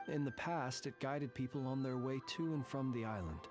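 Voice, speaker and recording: An actor playing a solemn, formal and courteous guardian of haunted tombs in a video game. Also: male voice, medium pitch, over music, close to an everyday voice. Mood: solemn, measured. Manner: formal, measured, ornate courteous speech. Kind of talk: video game character dialogue.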